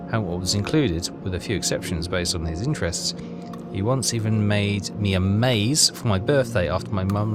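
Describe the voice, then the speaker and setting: Low-voiced man thinking aloud over game music. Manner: hesitant, repeats himself, thinking aloud. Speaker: let's player. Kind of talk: casual game walkthrough commentary, reading text aloud.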